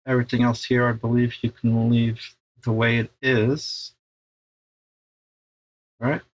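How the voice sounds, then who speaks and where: A man's voice: mid-range voice, dull audio. A middle-aged man giving a casual, informal step-by-step tech tutorial, sometimes hesitating and repeating himself. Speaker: tech installer presenting a how-to tutorial.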